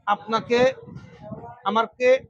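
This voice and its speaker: Male Bangladeshi product presenter, high voice, repeats key phrases in a sales pitch; dry, lo-fi sound. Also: fairly even intonation, drawn-out words, a bit bright, no room sound. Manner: repeats phrases for emphasis, addresses viewers directly. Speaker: electronics shop presenter.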